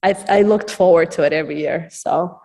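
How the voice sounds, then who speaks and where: A woman's voice: fairly low pitch, a little fast, creaky, darkish tone, low-quality recording. A woman casually presenting slides at a chapel service, rambling with frequent fillers and restarts. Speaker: campus ministry speaker.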